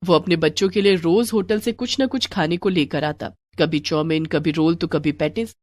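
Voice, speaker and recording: A woman's voice: fairly low pitch, normal speed, darkish tone, no room sound. Woman narrating a moral story.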